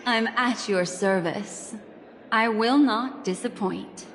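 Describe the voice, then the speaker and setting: A woman voicing a poised, mysterious memory keeper in a video game, with an unhurried, coaxing, gently teasing manner. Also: on the high side, slight background noise. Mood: coy. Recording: long reverb with clear background music.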